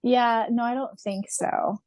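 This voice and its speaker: A woman's voice: fairly high pitch, steady tone, takes it slowly, a little mellow, no room sound. Woman podcast co-host, dry close sound. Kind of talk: casual chat.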